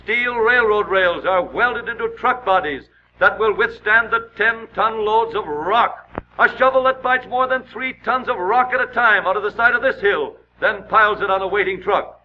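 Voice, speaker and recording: A man's voice: high-pitched, normal speed. An American man narrating a 1930s newsreel with dramatic, emphatic announcer delivery. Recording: scratchy, muffled 1930s newsreel soundtrack.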